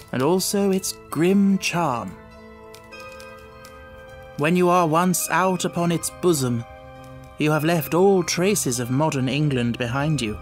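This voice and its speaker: A man voicing an earnest, reflective Victorian gentleman doctor, narrating his letters in a formal, measured audiobook style. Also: on the high side, lightly acted.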